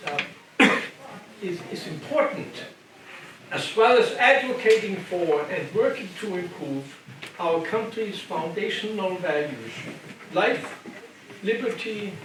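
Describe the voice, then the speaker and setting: A man's voice: sits high, fairly bright tone. Older German-accented man in echoey hall. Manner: conversational, repeats and restarts phrases, asks the room "okay?". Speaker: lecturer. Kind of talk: church adult education lecture. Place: church hall. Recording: very echoey hall, distant mic, background noise.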